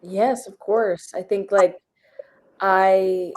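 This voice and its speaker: A woman's voice: medium pitch, fairly even intonation, drawn-out words. A young woman actor giving a casual, reflective answer as an interview guest. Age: young adult.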